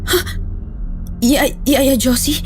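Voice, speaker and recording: A woman's voice: high-pitched, steady tone, drawn-out words, soft background music. A woman playing a frightened, bewildered Filipino tutor in a horror audio drama, speaking anxiously. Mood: baffled, uneasy. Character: frightened, bewildered female tutor trapped in a haunted house.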